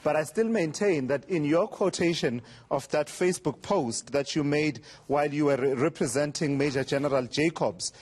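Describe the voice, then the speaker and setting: A man interviewing a guest on television, pressing his points in a measured way with frequent fillers. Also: fairly high pitch, darkish tone, slightly rough. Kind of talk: formal TV interview.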